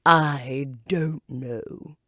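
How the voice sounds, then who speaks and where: A woman voicing a haughty, testy storybook caterpillar, speaking slowly and emphatically in a curt, languid way. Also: deep voice, fairly bright tone, dry recording, studio quality, full character voice. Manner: slow, drawn-out, emphatic and curt.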